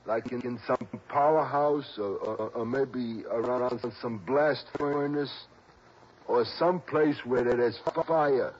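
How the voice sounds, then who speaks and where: An actor playing a nervous, simple informant in an old radio drama, halting and repeating words in a husky voice. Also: male voice, medium pitch, a little hiss or hum, natural voice. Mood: groping, thoughtful. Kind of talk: 1950s-60s radio drama acting. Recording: dull old radio recording.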